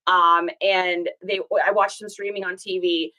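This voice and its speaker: Chatty hoarse woman hosting a live stream. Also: fairly low pitch, little pitch movement, unhurried, pushed and bright, low-quality recording. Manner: chatty, upbeat, greets viewers by name. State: hoarse from singing. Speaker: reseller livestream host. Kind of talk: casual livestream chat.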